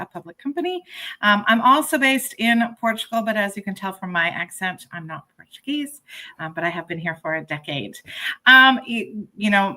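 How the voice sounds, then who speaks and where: A woman's voice: mid-range voice, sharp tone. A woman panelist introducing herself in a chatty way with many fillers, on a video call. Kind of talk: panel discussion.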